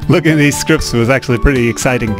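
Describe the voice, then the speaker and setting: A man's voice: mid-range voice, fast talker, rough recording. A man hosting a let's play, translating and commenting on a game in a casual, wry way. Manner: casual, wry, dry humor. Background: game music.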